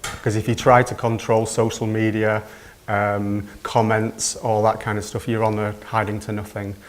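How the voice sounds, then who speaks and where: A man's voice: lowish voice, flat delivery, normal speed, slight background noise. A man in his thirties speaking on a conference panel, hesitant and full of filler ums.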